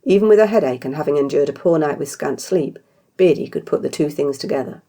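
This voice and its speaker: A woman with a British accent, reading a fantasy story aloud as an expressive narrator. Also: sits low, fast talker. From Britain. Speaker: author reading her own story.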